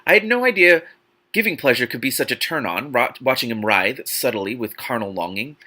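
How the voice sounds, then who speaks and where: A man hosting a dramatic book reading, animated and theatrical, breaking off into exasperated, incredulous, sarcastic commentary. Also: mid-range voice, brisk. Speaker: host reading a novel aloud with commentary.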